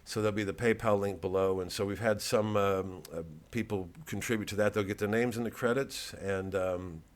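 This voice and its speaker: A man with a fairly low voice delivers a loose, rambling comedic monologue, as if chatting to followers on a vlog. He is a comedian who keeps a deadpan manner while plugging his own work. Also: moderate pace. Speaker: comedian promoting his live show.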